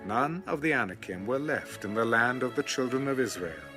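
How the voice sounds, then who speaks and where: A man reading scripture as a scripted audio narration in a measured, formal way. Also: mid-range voice, animated. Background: background music.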